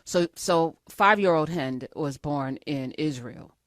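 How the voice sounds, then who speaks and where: Low-voiced woman hosting an interview. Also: slow pace, no echo, clean studio sound. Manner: impassioned, searching questions. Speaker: talk show host.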